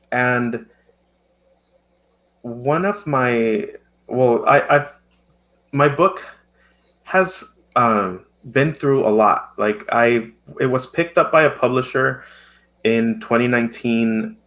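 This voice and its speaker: A man's voice: mid-range voice, gravelly, a bit bright. A man in his thirties whose first language is Spanish, talking thoughtfully as a poet guest in a casual interview. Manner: thoughtful, reflective.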